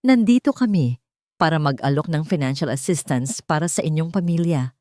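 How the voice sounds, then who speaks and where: A man's voice: fairly high pitch, animated, normal speed, dark tone, no room sound, studio quality, close to an everyday voice. A woman voicing a cold, formal company man in a suit, businesslike and unfeeling, in an audiobook story.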